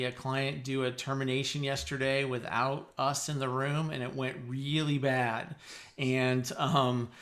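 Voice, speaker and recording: Flat-voiced man, relaxed pitch. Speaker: HR consultant. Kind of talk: casual networking pitch.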